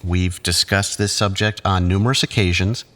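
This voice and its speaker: A male actor playing a condescending, controlling psychiatrist who talks in patronizing, measured therapy-speak in an audio drama. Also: low voice, fairly even intonation, fairly bright tone. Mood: smoothly patronizing.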